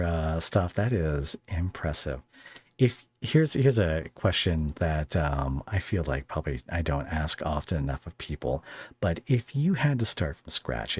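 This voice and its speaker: Podcast host, male, deep voice, close dry sound, casual and self-deprecating, wanders through his questions. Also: darkish tone, dry recording. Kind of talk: casual conversational interview.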